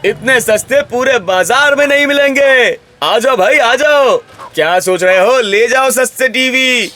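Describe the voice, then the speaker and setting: A man voicing a pushy, cheerful shopkeeper with drawn-out street-vendor calls in an animated story. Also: very high-pitched, pitched above the performer's own voice, exaggerated voice. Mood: hawking loudly. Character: loud hawking male television shopkeeper.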